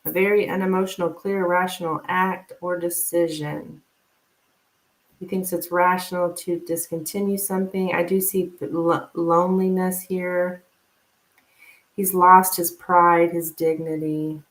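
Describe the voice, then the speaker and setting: A woman hosting a casual live tarot reading, speaking informally and haltingly as if thinking aloud. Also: on the low side, little pitch movement. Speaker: tarot reader, live stream host. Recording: roomy, echoing live stream sound.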